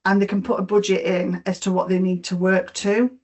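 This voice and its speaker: A woman's voice: medium pitch, flat delivery, fairly quick, a little mellow. A middle-aged woman with a Yorkshire accent, presenting a webinar on mortgage rewards, explaining each slide with frequent fillers and repeated words. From Yorkshire, England.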